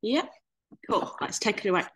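A woman's voice: medium pitch, normal speed. A woman in her twenties with a Yorkshire accent, giving a chatty, good-humoured presentation over a video call. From Leeds, Yorkshire, England. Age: 20s. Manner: chatty, lively, self-deprecating humour. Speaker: guest speaker. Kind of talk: casual webinar presentation.

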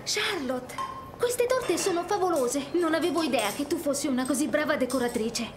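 A sweet, hopeful young woman with a high voice, speaking graciously in an animated game. Clear background ambience sits behind her. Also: moderate pace, noisy background. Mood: warm delight. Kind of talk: animated video game dialogue.

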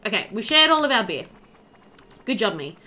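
Lively high-voiced woman gaming commentator. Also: moderate pace, faint music behind. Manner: animated, chatty, thinking aloud. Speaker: gaming video host. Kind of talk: casual let's-play commentary. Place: indoor room.

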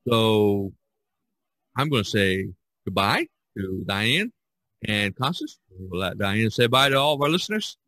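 A man hosting a casual podcast discussion in a halting, rambling way, often repeating "you know". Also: lowish voice, sing-song, bright, forceful tone, no echo. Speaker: podcast host.

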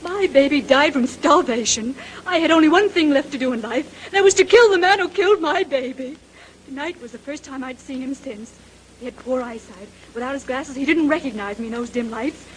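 An actress playing an anguished, vengeful young stewardess in a 1930s radio drama, speaking in a halting, emotional way. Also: female voice, sits high, natural voice, rough recording. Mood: bitter, anguished. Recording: hissy old 1930s radio recording.

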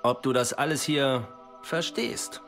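Ein Schauspieler als zynischer, abergläubischer Soldat in einer deutschen Videospiel-Synchronisation, bohrend und unverblümt. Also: male voice, medium pitch, unhurried, fairly bright tone, soft background music. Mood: accusing. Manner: probing, blunt. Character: cynical, accusing, superstitious American soldier. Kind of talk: German video game dub acting.

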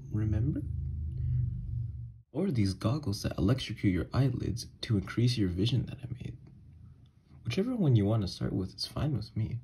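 A man voicing an obsessed, unhinged kidnapper scientist in an ASMR horror roleplay, soft and breathy, coaxing gently with quiet menace. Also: on the low side, heavily acted. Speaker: ASMR audio roleplay voice actor. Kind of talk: ASMR horror roleplay monologue, partly whispered.

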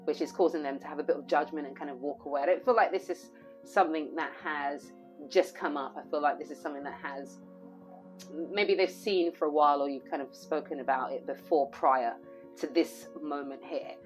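Low-voiced hoarse woman reading tarot. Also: fairly quick, soft background music. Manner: rambling, repeats phrases, many fillers. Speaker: tarot reader. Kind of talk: intuitive tarot reading to camera.

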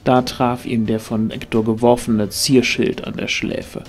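A man's voice: mid-range voice, flat delivery, a little slow, soft background music. A man reading scripted narration for a fantasy audio drama.

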